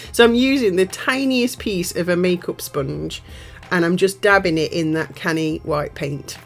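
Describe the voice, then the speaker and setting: Congested woman, chatty tutorial voiceover. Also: on the low side. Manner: chatty, rambling, self-correcting. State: congested, nasal from a cold. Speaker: nail art channel host.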